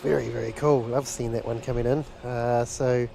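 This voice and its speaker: A man's voice: medium pitch, steady tone, normal speed. A man with a New Zealand accent chatting casually and enthusiastically as a live plane-spotting host. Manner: enthusiastic, chuckly, reads out viewer messages. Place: outdoors near a runway and seafront. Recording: clear outdoor vehicle and ambient noise.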